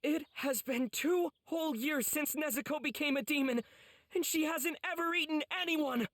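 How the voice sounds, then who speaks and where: A man's voice: extremely high pitch, moderate pace, fairly bright tone, no room sound. A man playing an earnest, devoted teenage boy swordsman in an anime game, heartfelt and pleading. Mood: desperate, earnest. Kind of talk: English anime game voice acting.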